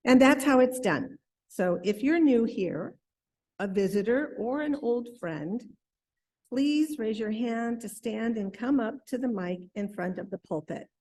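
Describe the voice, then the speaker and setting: Slow, measured woman leading a service. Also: on the high side, lively intonation, darkish tone. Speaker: service leader.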